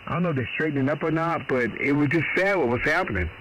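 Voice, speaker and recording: A man's voice: a little high, fast talker, background noise. A middle-aged man, chatting on amateur radio in a folksy, rambling, mildly salty way. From Boston. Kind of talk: casual radio chat.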